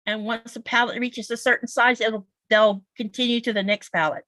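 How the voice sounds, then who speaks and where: A woman's voice: fairly high pitch, fairly even intonation, moderate pace, sharp tone, no room sound. A woman with a Southern US accent, chatting casually on a livestream in an explanatory, folksy way. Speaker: quilter guest on a livestream.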